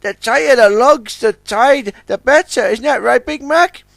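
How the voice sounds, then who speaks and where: A man's voice: extremely high pitch, measured pace, gravelly, fairly bright tone, no room sound, studio quality, pitched above the performer's own voice, exaggerated voice. A man voicing an eager young male tugboat who gets anxious and pleading.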